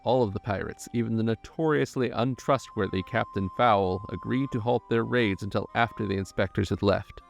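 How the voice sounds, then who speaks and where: A man reading scripted documentary narration in a measured storytelling manner. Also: fairly low pitch, creaky, faint music behind.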